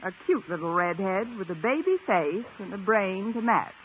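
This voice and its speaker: Actress as sarcastic lady teacher, old radio comedy, deadpan quips but very swoopy voice, tinny and hissy. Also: mid-range voice, moderate pace, natural voice. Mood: wry narrating. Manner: dry, deadpan, crisply arch. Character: wry, sarcastic woman schoolteacher, quick with dry quips. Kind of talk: 1950 radio sitcom acting and narration. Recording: thin, noisy old radio recording.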